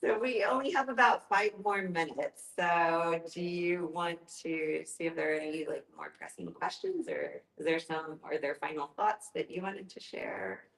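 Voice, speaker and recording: A woman's voice: sits low, lively intonation, normal speed, hardly any pauses, a bit bright. A woman moderating a casual group discussion in an informal, conversational way. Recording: echoey room.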